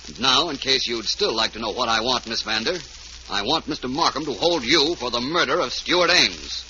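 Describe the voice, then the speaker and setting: An actor playing a shrewd, urbane private detective in an old-time radio drama, speaking in a polished, precise, explanatory way. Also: male voice, medium pitch, lively intonation, moderate pace, natural voice. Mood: cool, accusing. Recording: muffled, hissy vintage radio broadcast recording.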